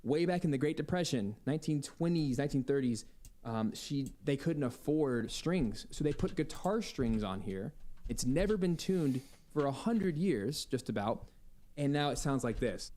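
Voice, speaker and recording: A man's voice: medium pitch, animated, normal speed, few pauses, dark tone. A man telling stories casually and enthusiastically, as a musician in an interview. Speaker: composer and violinist being interviewed. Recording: lightly noisy playback with clicks.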